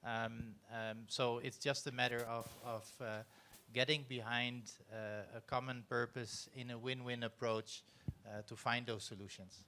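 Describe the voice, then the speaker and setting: Dutch-accented middle-aged man, unhurried panel speaker, explanatory, lots of ums. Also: mid-range voice, steady tone, pushed and bright. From Netherlands. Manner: measured, explanatory, with many fillers. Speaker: panelist, former corporate CEO. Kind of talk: panel discussion answer.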